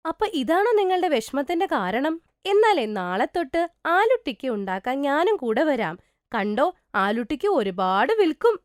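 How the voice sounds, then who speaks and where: A woman voicing a gentle, wronged young orphan woman in a cartoon moral story, earnest and plaintive. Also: sits high, sing-song, moderate pace, no echo, studio quality. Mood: caring.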